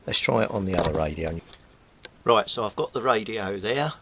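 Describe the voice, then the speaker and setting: A man's voice: expressive, moderate pace, fairly bright tone, breathy edge. A middle-aged man narrates hands-on repair work informally, thinking aloud as he goes. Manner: thinks aloud while working. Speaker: hobbyist radio repairer and video maker. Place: indoor cluttered home workbench. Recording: rumbly background noise.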